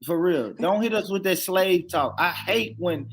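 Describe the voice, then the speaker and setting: A man from the American South with a Southern accent, chatting on a panel in an emphatic, animated way. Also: high voice, measured pace, slight background noise. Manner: animated, emphatic, swears freely. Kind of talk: casual panel chat.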